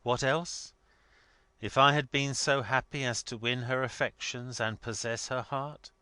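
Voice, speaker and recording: A man as a dignified, restrained young gentleman. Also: medium pitch, steady tone, clear, resonant tone, no room sound, pitched above the performer's own voice. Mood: firm, restrained. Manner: formal, measured, controlled. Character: dignified, restrained young male secretary under insult.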